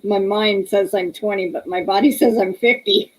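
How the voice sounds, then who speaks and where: A woman's voice: medium pitch, steady tone, moderate pace, slightly rough, low-quality recording. A woman, hosting a casual livestream, chatty and laughing often as she reads viewer comments aloud. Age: 30s. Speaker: livestream host.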